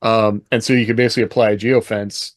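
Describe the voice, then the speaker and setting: A man's voice: lowish voice, little pitch movement, quick pace, dry recording, clean studio sound. A man co-hosting a podcast, talking informally and thinking aloud in a casual panel discussion. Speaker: podcast co-host.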